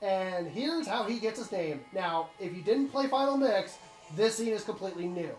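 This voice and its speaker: A man's voice: high voice, animated, moderate pace. A man gives casual commentary as host of a game playthrough. He speaks straight to the viewers in an explanatory way. His voice carries a roomy echo, with some music behind it. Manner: explanatory, addresses viewers directly.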